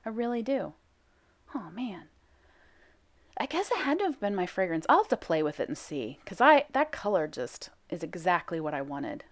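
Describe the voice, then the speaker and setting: Casting note: low-pitched woman, casual self-deprecating vlogger narrating her craft footage. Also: big pitch swings, moderate pace. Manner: chatty, self-mocking, lots of fillers. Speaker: soap making vlogger. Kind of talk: casual voice-over commentary.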